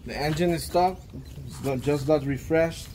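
A man's voice: on the high side, moderate pace, a little mellow. A young man, chatting casually as a guest in a car video. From Aruba. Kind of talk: casual chat. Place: outdoors at open home garage. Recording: open-air ambience, faint background noise.

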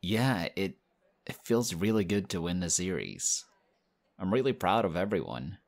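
A man voicing a friendly, modest young male team captain in an audio roleplay, talking in a relaxed, chatty way. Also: low voice, unhurried, vocal fry, exaggerated voice. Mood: excited, friendly.

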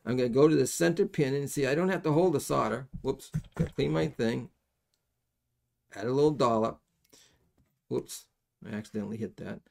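A middle-aged man giving a casual hands-on soldering tutorial, folksy and halting as he thinks aloud. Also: medium pitch, creaky, darkish tone. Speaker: electronics soldering instructor. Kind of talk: hands-on tutorial, explaining casually while working. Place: indoors at a workbench.

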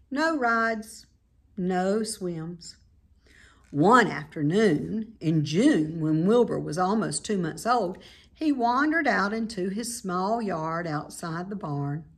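An American woman in her fifties reading a children's book aloud in a measured, expressive way, in a small room at home. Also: medium pitch.

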